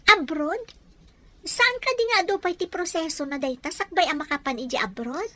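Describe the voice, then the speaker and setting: Audio drama casting: a woman as inquisitive, respectful young maid, bright and high-pitched. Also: animated, moderate pace, slight background noise, heavily acted. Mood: friendly, curious. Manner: polite, questioning. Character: respectful, inquisitive young housemaid, devoted daughter.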